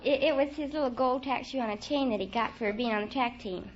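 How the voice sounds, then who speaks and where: High-voiced young American woman, vintage radio. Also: fast talker. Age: young adult. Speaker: quiz contestant. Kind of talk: casual answers on 1940s radio quiz. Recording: dull vintage radio, loud audience.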